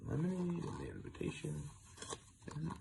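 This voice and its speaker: A man reading a children's picture book aloud in an animated storytelling style, adding asides about the pictures. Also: on the high side, moderate pace, creaky, noisy background. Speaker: storybook reader.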